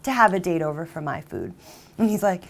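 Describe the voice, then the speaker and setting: A woman playing a jilted, obsessive character who confesses in a halting, conversational dramatic monologue, with volatile emotion. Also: fairly low pitch, sing-song, creaky, heavily acted. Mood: indignant, agitated. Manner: halting, emotionally volatile, mimics others' exclamations. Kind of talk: dramatic monologue, conversational first-person storytelling.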